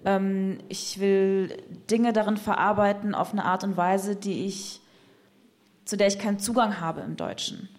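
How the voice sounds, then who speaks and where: A woman's voice: medium pitch, fairly even intonation, unhurried, darkish tone. A woman poet in conversation, speaking reflectively and searching for words with frequent fillers. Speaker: poet guest.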